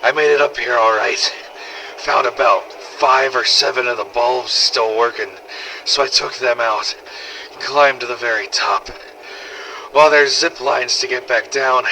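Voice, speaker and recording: A man's voice: medium pitch, normal speed, pushed and bright, slight background noise, poor audio. A man playing a wry, weary apocalypse survivor who narrates an audio diary in an exasperated, sweary way. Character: sardonic, weary male survivor runner in a zombie apocalypse. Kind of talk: in-character audio diary narration, fan-made game audio logs.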